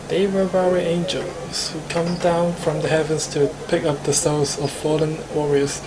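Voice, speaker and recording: A man with a Hong Kong accent reads a visual novel aloud in an informal, slightly stumbling way. His voice sits fairly high, with music clearly playing behind him. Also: little pitch movement, moderate pace, rough recording. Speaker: streamer reading the protagonist.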